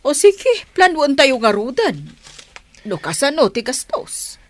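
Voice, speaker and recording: A woman's voice: high-pitched, very animated, takes it slowly, exaggerated voice. A woman playing a blunt, money-minded middle-aged mother of a grown son in an Ilocano radio comedy drama. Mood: discontented.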